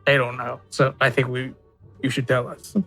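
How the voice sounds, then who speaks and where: A man's voice: medium pitch, completely flat delivery, moderate pace, fairly bright tone, faint music behind. A man playing a tabletop game, talking casually as one of the players.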